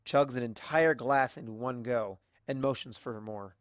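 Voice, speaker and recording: A person reading a story aloud as scripted narration, dropping softer on the narration tags. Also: mid-range voice, a little slow, no echo, clean studio sound. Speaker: amateur audiobook reader. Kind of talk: scripted narration of fan fiction.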